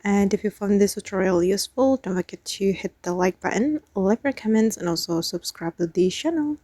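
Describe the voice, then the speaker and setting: A woman's voice: medium pitch, fairly quick, warm tone, dry recording. A woman hosting a step-by-step how-to tutorial as a spoken voice-over. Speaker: tutorial host.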